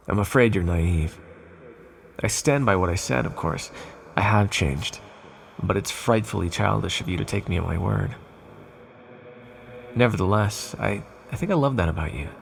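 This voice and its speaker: Man voicing a gravelly ancient villain, measured and intimate. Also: on the low side. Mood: wry, fond. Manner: measured, formal, hesitant confiding. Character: ancient accursed living weapon, calm, menacing yet tender male villain. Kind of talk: ASMR roleplay monologue.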